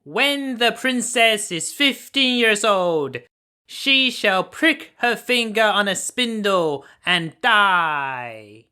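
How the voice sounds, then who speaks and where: Man putting on a high, menacing wicked fairy. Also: lively intonation, clear, resonant tone, exaggerated voice. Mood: furious, menacing. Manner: loud, drawn-out menacing delivery. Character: furious wicked fairy, a vengeful female spirit.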